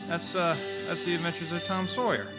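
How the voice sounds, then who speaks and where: A man playing a grateful, overwhelmed husband and father in a stammering, excitable vintage radio play style. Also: on the high side, fairly quick, loud music behind the voice, natural voice. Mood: tender. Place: live on a theater stage.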